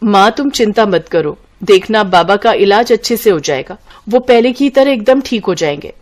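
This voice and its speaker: A woman's voice: mid-range voice, animated, moderate pace, lightly acted. A woman voicing an eager, curious young village daughter in a storybook tale. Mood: earnest, reassuring. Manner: questioning, eager. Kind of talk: storybook character voice.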